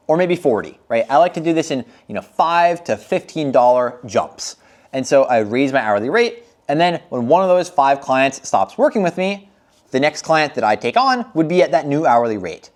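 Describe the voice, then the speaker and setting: A young man giving a casual, conversational tutorial on freelancing, often checking in with listeners by asking "right?". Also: on the high side. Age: young adult. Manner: conversational, frequent tag "right?". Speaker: freelancing coach.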